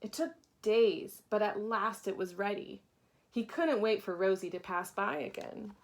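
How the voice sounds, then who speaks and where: A woman reading a children's picture book aloud with dramatic pauses, acting out the story. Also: medium pitch. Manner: deliberate dramatic pauses, acts out characters. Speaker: storybook reader, teacher.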